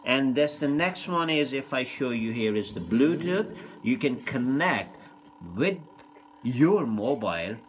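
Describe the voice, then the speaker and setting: A man talks slowly through an informal product demonstration, halting as he goes in non-native English. His pitch is ordinary for a man. The recording has noticeable background noise with small handling clicks, as from a camera mic in a room at home. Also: expressive, low-quality recording. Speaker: presenter of an unboxing video.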